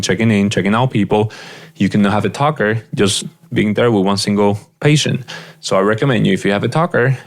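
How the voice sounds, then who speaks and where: A man giving earnest, direct business advice as a practice coach, often repeating his points. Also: fairly low pitch. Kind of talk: advice monologue.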